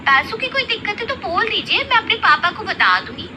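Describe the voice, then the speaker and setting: A woman's voice: extremely high pitch, sing-song, brisk, background noise, pitched above the performer's own voice. A woman acting a spoiled, hot-tempered rich younger sister-in-law in a story, with a showy, emphatic delivery. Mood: boastful. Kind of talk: Hindi moral story acting.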